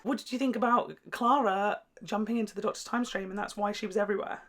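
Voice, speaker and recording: Young british guy doing a reaction chat, animated and chatty, really into it. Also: sits high, fast talker. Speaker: reaction video host. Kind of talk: casual chat.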